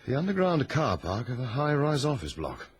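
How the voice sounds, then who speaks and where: An actor playing a touchy, self-important garage owner in a radio drama, curt and dry. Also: male voice, medium pitch, wildly swooping pitch, moderate pace. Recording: noisy old radio recording.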